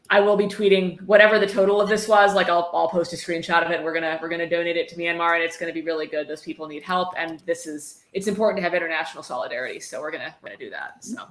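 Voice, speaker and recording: A woman hosting a livestream, chatting casually and reading passages aloud with sardonic commentary and free swearing. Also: lowish voice. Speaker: livestream host reading a book aloud for charity. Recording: roomy, echoey sound.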